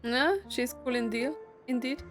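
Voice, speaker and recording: A woman's voice: fairly high pitch, steady tone, unhurried, soft background music. A woman giving casual playthrough commentary as she reacts to a game's story. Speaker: streamer reacting to a game story.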